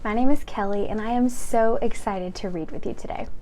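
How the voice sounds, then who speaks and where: A young adult woman hosting a children's read-aloud channel, reading a picture book in a slow, gentle, sing-song storytime way. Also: fairly high pitch, slight background noise.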